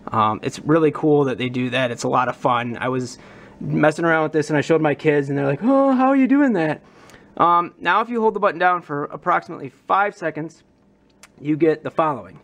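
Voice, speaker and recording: A man with a slightly high voice walks through a hobby modification. He talks informally and with enthusiasm. The style is casual, explanatory vlogging. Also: animated, brisk, fairly bright tone. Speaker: prop modder presenting a demonstration.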